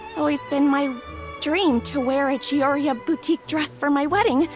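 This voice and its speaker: A woman's voice: high-pitched, fairly even intonation, moderate pace, lightly acted. A woman voicing an awestruck young bride-to-be customer in a game. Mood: awed. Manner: awed, gushing. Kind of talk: game voice acting. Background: game music.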